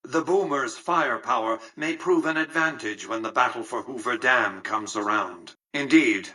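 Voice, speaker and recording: Imperious, bright-voiced tycoon mastermind. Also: male voice, medium pitch, moderate pace, slightly rough, far from the mic, poor audio. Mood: cool, commanding. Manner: formal, pompous, precise, patronizing. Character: imperious, calculating elderly male tycoon, coolly visionary mastermind. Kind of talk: scripted video game character dialogue.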